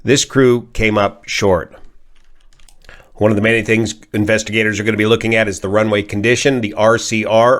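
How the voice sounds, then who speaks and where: An American man, a pilot from California, giving an informal analysis in a measured, methodical, technical way. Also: lowish voice. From California, United States. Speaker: pilot and aviation commentator. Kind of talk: informal explanatory accident analysis.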